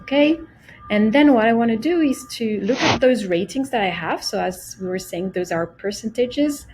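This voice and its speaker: A woman presenting a casual software tutorial, explaining each step simply and speaking directly to the viewer. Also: on the high side, lively intonation, normal speed, slight background noise, low-quality recording. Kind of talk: casual screen-share tutorial.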